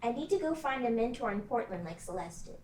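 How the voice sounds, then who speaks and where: A woman reading an eager, high-voiced young witch. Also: fast talker, slight background noise. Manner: earnest, excitable. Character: eager teenage girl witch. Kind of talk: table read. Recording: roomy sound from a distant mic.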